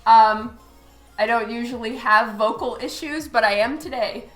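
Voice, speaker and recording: A high-pitched woman chats unhurriedly with her stream audience while she draws. Her voice is hoarse and fading from a cold. She thanks each follower and laughs easily, in a roomy space with soft music playing behind. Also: measured pace. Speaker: artist streaming live. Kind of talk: casual chat with viewers while drawing.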